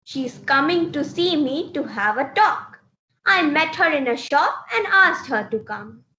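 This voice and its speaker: A woman's voice: high-pitched, lively intonation, measured pace, bright, forceful tone, a little hiss or hum, heavily acted. A woman playing a strict mother who instructs her daughter firmly, in a classroom reader's theater play. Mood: explaining. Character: strict, advising mother of a talkative young girl. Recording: roomy, echoing room sound.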